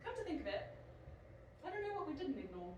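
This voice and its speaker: A woman's voice: sits high, big pitch swings, fast, darkish tone. An actress playing a defiant, sardonic young woman, telling her own story in a wry, rambling, irreverent way in an audio drama. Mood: wry, musing. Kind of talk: dramatic first-person audio drama narration. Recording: echoey, distant, faint background noise.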